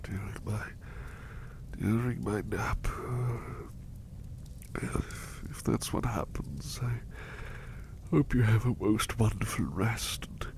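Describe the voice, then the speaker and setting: A man voicing an ill, devoted butler in an ASMR roleplay, talking softly in a whispery, affectionate way as he grows sleepy. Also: fairly low pitch, takes it slowly, gravelly, long pauses. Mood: tender, drowsy. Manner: soft, whispery, affectionate, repeats phrases. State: sick and sleepy. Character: devoted, gentle male butler, ill in bed.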